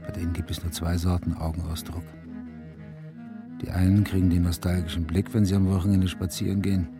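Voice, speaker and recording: Low-voiced, weary detective. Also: male voice, flat delivery, brisk, music in the background. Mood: brooding. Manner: dry, sardonic, gruff. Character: weary, curt male police detective, farmer's son. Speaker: lead character and narrator. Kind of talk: radio drama acting and narration.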